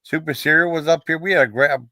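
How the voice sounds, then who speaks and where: A man's voice: a little high, quick pace, pushed and bright, dry recording, studio quality. A man hosting a casual livestream, talking in a rambling, informal way with short repeated acknowledgments. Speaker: livestream host. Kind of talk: casual livestream chat and sign-off.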